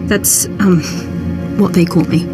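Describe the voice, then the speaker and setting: A woman's voice: lowish voice, steady tone, a little slow, lightly acted. A woman voicing a weary, tough, protective military officer in a video game, frank and no-nonsense. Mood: protective, candid. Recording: music under the voice.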